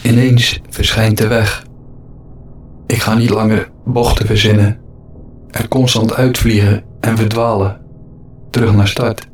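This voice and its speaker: A man delivering a reflective spoken-word monologue in short, deliberate, repeated phrases for an audio-play podcast. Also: lowish voice, level tone, soft background music. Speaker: podcast host. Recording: echoey room sound, faint background noise.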